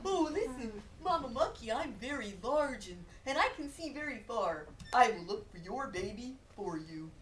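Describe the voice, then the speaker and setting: A woman playing a big, gentle, kindly female elephant in a live story, earnest and reassuring. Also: on the high side, animated, moderate pace, hardly any pauses, slight background noise, lightly acted. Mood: helpful. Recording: distant mic, live performance.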